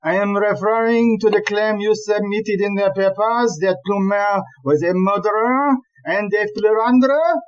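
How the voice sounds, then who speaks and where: An actor playing an exasperated, blustering chief police inspector in a comic audio play, with an emphatic, deliberate, ranting delivery. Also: male voice, high voice, highs cut off, close to an everyday voice. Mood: scolding, sarcastic.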